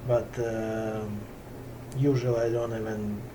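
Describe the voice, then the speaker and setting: Slow-spoken man, distant mic. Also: on the low side. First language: Russian. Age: middle-aged. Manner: halting, pauses to find words, many fillers. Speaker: yoga teacher. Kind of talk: slow unscripted talk to camera. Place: small hot room in a Brooklyn home ashram. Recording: camera from a distance with steady background noise.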